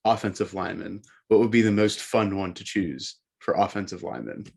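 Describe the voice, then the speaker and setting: A man co-hosting a casual sports discussion, thinking aloud in a measured way. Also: on the low side, vocal fry, a little mellow, husky. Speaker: sports show co-host.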